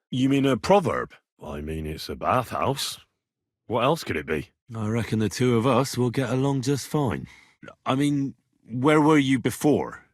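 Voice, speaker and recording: A young servant of a medieval lord in a video game. His voice is husky and moves freely up and down as he talks in a plain, inquisitive, earnest way. The sound is dry and close, with no room around it. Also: mid-range voice, dry recording. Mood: frank, defensive. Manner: colloquial, conversational, inquisitive. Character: earnest, plain-spoken young medieval man in a lord's service. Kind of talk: naturalistic game dialogue acting.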